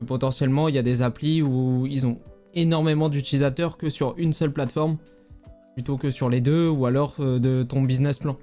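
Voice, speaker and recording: A man who works as a native mobile app developer, talking casually as a guest in an interview, relaxed and informal with frequent hesitations. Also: mid-range voice, a little fast, soft background music. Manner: relaxed, informal, many fillers.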